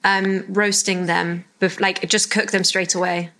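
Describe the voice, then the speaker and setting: Enthusiastic woman host, bright tense tone, gushing casual delivery. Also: fairly low pitch, level tone, measured pace. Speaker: podcast host. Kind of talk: casual chat.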